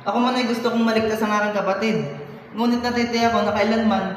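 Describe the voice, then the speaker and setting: A man's voice: high voice, flat delivery, fast, slight background noise, lightly acted. A man playing an earnest, commanding figure of colonial times in an amateur radio drama. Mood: pained, resigned. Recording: echoey hall, music behind.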